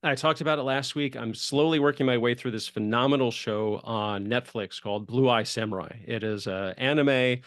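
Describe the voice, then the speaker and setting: A male host talks in an easy, conversational way with chatty enthusiasm. His medium-pitched voice sits in a dry, echo-free recording, as he steers a casual podcast interview. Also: normal speed, few pauses, fairly bright tone.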